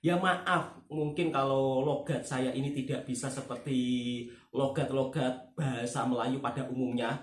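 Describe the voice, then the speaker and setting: A man's voice: medium pitch, steady tone, normal speed. A man from Java with a Javanese accent, hosting his channel and chatting earnestly with a friend, often asking for agreement. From Java, Indonesia. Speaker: channel host. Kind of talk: casual chat with a friend. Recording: echoey room.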